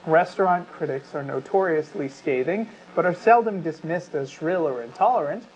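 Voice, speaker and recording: A man's voice: on the high side, moderate pace. A man co-hosting a talk show, mixing conversational commentary with passages read aloud. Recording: dull lo-fi audio with clear background noise.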